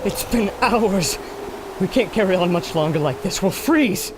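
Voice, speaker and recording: An actor playing a resolute, level-headed expedition leader, earnest and dramatic in a pulp radio adventure. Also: male voice, high-pitched, lively intonation, measured pace. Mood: grave urgency. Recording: loud storm and ambient noise.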